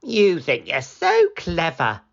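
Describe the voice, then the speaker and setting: Woman putting on storybook villager, sceptical, irritated, scolding, raised pitch, slightly lo-fi recording. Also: wildly swooping pitch, slow pace, gravelly, exaggerated voice. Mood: indignant. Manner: exclamatory, scolding.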